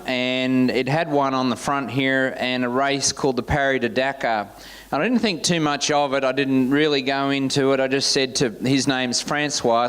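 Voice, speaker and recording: A man hosting a business forum, introducing the guest speaker through a relaxed personal anecdote. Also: mid-range voice, clear, resonant tone. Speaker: event host.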